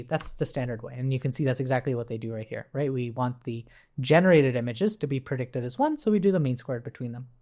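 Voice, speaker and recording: A man teaching in an informal, conversational way, explaining step by step as a coding instructor and often checking in as he goes. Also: a little high. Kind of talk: informal technical tutorial walkthrough.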